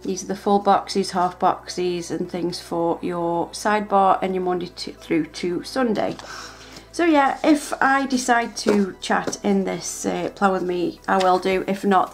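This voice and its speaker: A woman's voice: medium pitch, normal speed, soft background music. A woman chatting casually as she shows off planner stickers, gushing and restarting her sentences. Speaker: planner vlogger. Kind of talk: casual show-and-tell narration.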